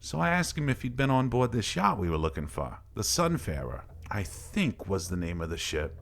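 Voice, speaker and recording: A man's voice: lowish voice, huge pitch swings, moderate pace, gravelly, heavily acted. Man putting on a rambling drunken barfly storyteller. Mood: boastful rambling. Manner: slurred, coarse, rambling talk. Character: rambling drunken barfly, ex Coast Guard medic, boastful.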